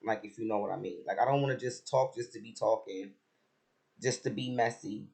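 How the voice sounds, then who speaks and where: A man with a raspy voice who has just woken up, chatting casually about a TV episode with lots of fillers and restarts. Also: lowish voice, a little fast. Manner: relaxed, rambling, many fillers and restarts. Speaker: reality TV commentator.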